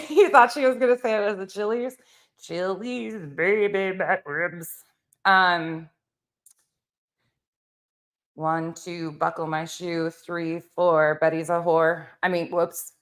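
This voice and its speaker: A woman with a slightly low voice delivers mocking reaction commentary. She is openly sarcastic and swears freely, with a theatrically exasperated way of talking. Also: fairly even intonation, slow. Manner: sarcastic, profane. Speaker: commentary channel host.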